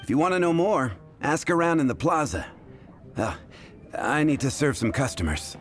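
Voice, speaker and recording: A man's voice: on the high side, big pitch swings, normal speed. A man playing a busy, casual tavern bartender in a video game, with an offhand manner. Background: music.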